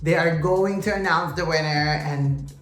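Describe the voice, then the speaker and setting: A chatty pageant vlogger dishes on the results with plenty of sass and gossip, talking straight to the viewers. The voice sits at a middling pitch and bounces off a roomy indoor space, with faint music underneath. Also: a little slow, fairly bright tone. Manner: sassy, gossipy, addresses viewers as "you guys" and "queens". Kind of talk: casual recap commentary to camera.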